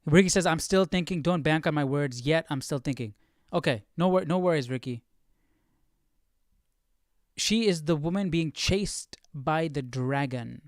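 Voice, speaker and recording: A man's voice: a little high, unhurried, no room sound, clean studio sound. A man hosting a live Bible study, thinking aloud in casual commentary and puzzling through questions as he goes.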